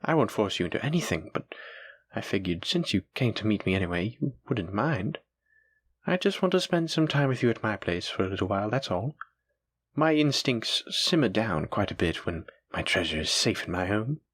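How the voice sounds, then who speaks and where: A man playing a doting, gently possessive dragon-blooded librarian, speaking tenderly and coaxingly to his sweetheart in an intimate audio roleplay. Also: fairly low pitch, sing-song, normal speed, slightly rough, no echo, exaggerated voice. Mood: playful, coaxing. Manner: tender, coaxing, affectionate, reassuring. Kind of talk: intimate scripted audio roleplay addressed to the listener.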